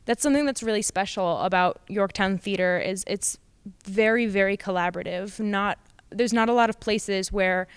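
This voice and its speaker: A woman's voice: medium pitch, clear, resonant tone, dry recording. A young woman, a student actor, giving an explanation in an interview in an informal way. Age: young adult.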